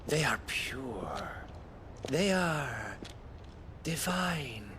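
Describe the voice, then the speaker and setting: A man's voice: medium pitch, very animated, extremely slow, background noise. A man playing a haughty noble villain in a video game, with a mock-courteous, theatrical delivery that savors each phrase. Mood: mocking courtesy. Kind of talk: dramatic video game acting. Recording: loud game music behind.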